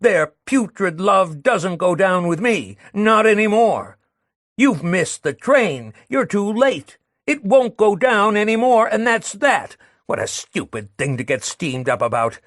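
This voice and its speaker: A man voicing a bitter, disgusted man who rants against love, shouting and scornful. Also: sits high, sing-song, a little slow, no echo, clean studio sound, heavily acted. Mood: ranting.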